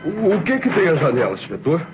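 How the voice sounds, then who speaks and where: A Brazilian man playing an anxious murder suspect in an old radio drama, with measured, brooding, literary delivery. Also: a little high, huge pitch swings. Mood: uneasy, guarded. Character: anxious male murder suspect narrating his own downfall. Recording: muffled vintage radio recording, music behind.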